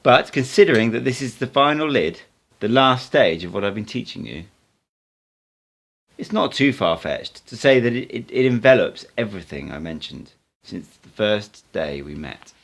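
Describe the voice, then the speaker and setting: A man's voice: fairly low pitch, animated, moderate pace, gravelly. A man voicing a playful, assured, cryptic male mystic teacher in an audiobook, speaking in emphatic declarations. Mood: earnest. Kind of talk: audiobook character dialogue.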